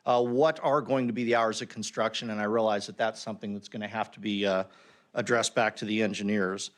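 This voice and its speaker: A man's voice: on the low side, fairly even intonation, fairly quick. A man speaking unscripted at a public hearing as a local resident, plainspoken, with frequent ums and uhs. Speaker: resident giving public comment.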